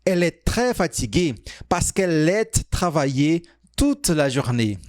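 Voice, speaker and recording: A man teaching French, reading quiz sentences and answer options aloud slowly and carefully. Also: fairly high pitch, sing-song, no room sound, clean studio sound. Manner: reads sentences slowly, stretched out, pauses on options. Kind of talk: slow, clearly spoken quiz reading.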